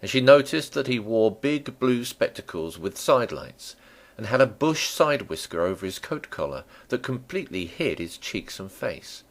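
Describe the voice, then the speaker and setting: A man narrating a classic novel as a dramatic reading, with theatrical, deliberate phrasing. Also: medium pitch, big pitch swings. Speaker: audiobook narrator.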